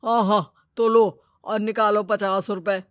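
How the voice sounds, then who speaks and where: A woman's voice: mid-range voice, steady tone, measured pace, no echo, clean studio sound, close to an everyday voice. A woman voicing a greedy, scheming male moneylender in a village moral story cartoon, brusque and bossy. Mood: irritated.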